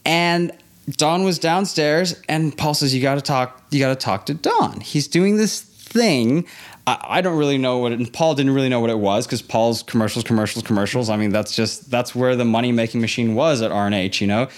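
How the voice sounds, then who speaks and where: A man's voice: mid-range voice, moderate pace. A man who grew up in Hong Kong, telling a casual story as an interview guest and acting out the dialogue. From born in Los Angeles, grew up in Hong Kong. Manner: animated retelling with quoted dialogue. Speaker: interview guest, theme park industry professional.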